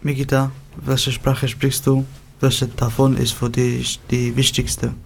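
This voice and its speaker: A man acts as interviewer and reads his questions plainly and evenly. His voice sits mid-range, and its pitch barely moves. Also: flat delivery, slow pace, vocal fry, slight background noise.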